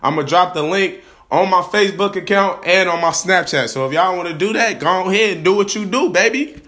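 Young male podcast host, swaggering and slangy, voice hoarse from a cold; bright, forceful tone. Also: sits high, fairly quick. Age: young adult. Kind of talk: casual vlog-style podcast talk. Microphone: camera.

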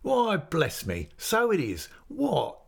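A man's voice: on the high side, wildly swooping pitch, slow pace, creaky, breathy edge, pitched above the performer's own voice, full character voice. A man voicing a chatty, greedy inn waiter with a wheedling, garrulous manner. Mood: greedy delight. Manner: garrulous, wheedling, mock-dismayed.

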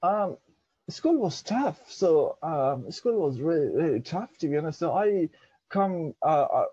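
A man's voice: fairly high pitch, big pitch swings, unhurried, warm tone, slightly rough, dry recording, highs cut off. A young man from Pakistan whose first language is Dari, telling his story as an interview guest, hesitant with many fillers. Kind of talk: reflective personal storytelling.

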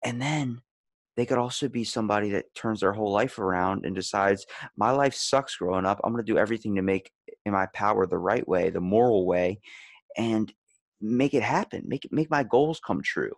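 A man's voice: fairly low pitch, normal speed, no room sound, clean studio sound. A man in a casual podcast chat, rambling as host and animatedly telling stories, acting out the lines he quotes.

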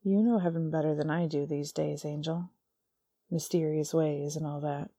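A woman's voice: sits low, fairly even intonation, mellow, no room sound. A woman doing a drawling male demon. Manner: slow lazy drawl. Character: male demon, lazy drawl, wounded, brooding.

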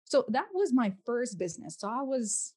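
A woman's voice: a little high, sing-song, normal speed, a little mellow, husky. A woman in her thirties with a Lithuanian accent, a native Lithuanian speaker, answering interview questions conversationally as a podcast guest and coach. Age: 30s.

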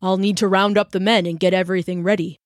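Woman doing a gruff old colonel father. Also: medium pitch, level tone, fast talker, no echo, clean studio sound, natural voice. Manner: gruff, commanding. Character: steady old soldier father, a militia colonel.

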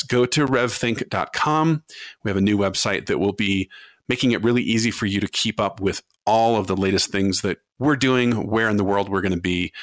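A man's voice: lowish voice, fairly bright tone, dry recording, clean studio sound. A man hosting a podcast, introducing his guest and announcements in an upbeat, conversational way. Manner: upbeat promotional, conversational with fillers. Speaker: podcast host.

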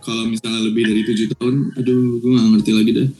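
A man's voice: mid-range voice, flat delivery, fast talker, darkish tone. A young man from Sumatra with a Batak-tinged Indonesian accent, hosting a chatty, joking podcast conversation in slang. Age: young adult. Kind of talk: casual chat.

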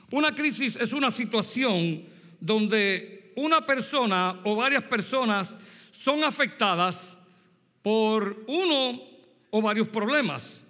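A man's voice: sits high, pushed and bright. A Puerto Rican man in his sixties preaching a sermon, telling a story from his youth and asking the congregation direct questions. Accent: Puerto Rican Spanish. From Puerto Rico. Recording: long hall reverb.

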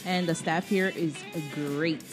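A woman's voice: sits low, fairly even intonation, takes it slowly, music in the background. A woman co-hosting a casual podcast from a restaurant, teasing and laughing often. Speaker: podcast co-host. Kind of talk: casual banter.

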